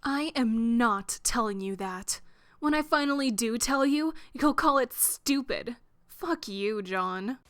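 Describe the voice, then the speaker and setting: A woman playing a touchy, teasing young female troll in a fan drama, with halting, defensive banter. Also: fairly high pitch, lively intonation, fairly bright tone, no echo, studio quality.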